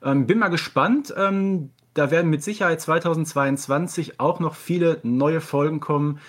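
A man's voice: fairly high pitch, moderate pace, fairly bright tone. A man talking casually as a podcast guest, explaining things in detail.

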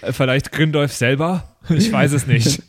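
A man's voice: a little high, moderate pace, no room sound, clean studio sound. A man playing in a tabletop game podcast, chatting and improvising casually in role.

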